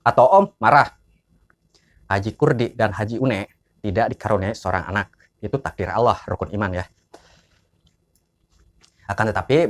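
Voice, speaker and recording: A man, giving an informal biographical narration as he lists names and years. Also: fairly low pitch. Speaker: narrator of family history.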